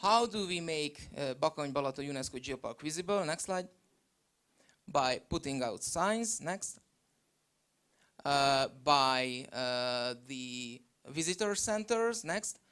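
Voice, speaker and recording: A man from Hungary walks through a slide presentation, pausing often with fillers between points. His voice sits a little high and comes through smooth and resonant over a video call. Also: lively intonation, fairly bright tone. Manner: halting, many fillers. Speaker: geopark presenter.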